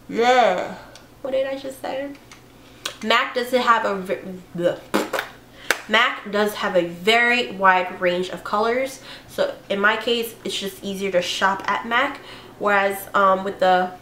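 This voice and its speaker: Hoarse sick-sounding young woman rambling about makeup, roomy echo, bit of hiss. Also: mid-range voice, a bit bright. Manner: chatty, rambling, with fillers and self-corrections. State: hoarse from a cold. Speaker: beauty vlogger. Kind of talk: casual makeup tutorial chat.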